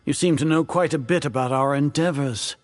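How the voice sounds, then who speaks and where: An actor playing a haughty, older scholarly leader in a video game, lecturing with grandiose contempt. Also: male voice, a little high, fairly quick, close to an everyday voice. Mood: condescending. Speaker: grand sage of an academy.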